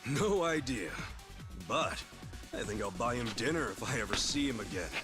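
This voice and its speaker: A man's voice: mid-range voice, animated, moderate pace, lightly acted. An actor playing a hot-headed, dogged male street brawler in a video game cutscene, speaking in a brash, blunt way. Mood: cocky, relieved. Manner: brash, blunt, emotional, profane. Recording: music under the voice. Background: music.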